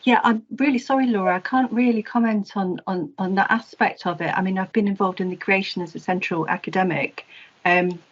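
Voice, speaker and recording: A woman academic giving a halting, hesitant slide presentation on a webinar. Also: mid-range voice, steady tone. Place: online webinar call. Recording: dull lo-fi webinar audio.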